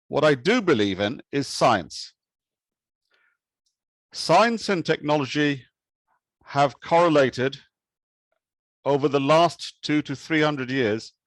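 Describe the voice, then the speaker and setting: Measured Kenyan-born man giving an academic talk. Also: mid-range voice, no room sound. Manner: measured, thoughtful, with occasional restarts. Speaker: conference speaker.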